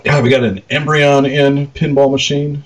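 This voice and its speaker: A man co-hosting a podcast in casual chat, informal and halting, often trailing off. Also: medium pitch, little pitch movement, rough recording. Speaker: podcast co-host, arcade operator.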